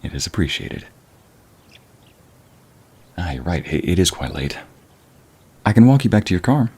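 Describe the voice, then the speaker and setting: A man acts out a bashful young concert musician for an ASMR romance. The voice is very low and swings widely in pitch. He is courteous and smitten, with a formal, halting politeness. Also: very animated, mellow, husky, exaggerated voice. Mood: shy, hopeful. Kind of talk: ASMR romantic roleplay audio drama.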